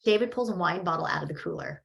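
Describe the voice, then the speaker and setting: A woman's voice: lowish voice, animated, quick pace, creaky. A woman reads stage directions as scripted narration at a table read.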